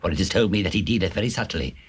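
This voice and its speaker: An actor playing a wrathful, suspicious ancient king in a scripture audio drama, with an imperious manner and a husky voice. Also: low-pitched, racing, slight background noise, close to an everyday voice. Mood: grateful, scheming. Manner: imperious, commanding, archaic speech.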